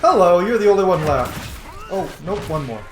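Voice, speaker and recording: A man giving casual, sweary, playful commentary as he plays a video game, excitable in his delivery. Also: high-pitched, normal speed, soft background music. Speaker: gamer, let's play host. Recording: roomy echo, faint background noise.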